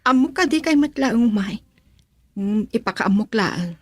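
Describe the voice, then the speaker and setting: Headstrong young bride-to-be, husky-voiced woman acting. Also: fairly high pitch, animated, measured pace, no echo. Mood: defiant. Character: headstrong young bride-to-be defying her father. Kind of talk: Ilocano radio drama acting.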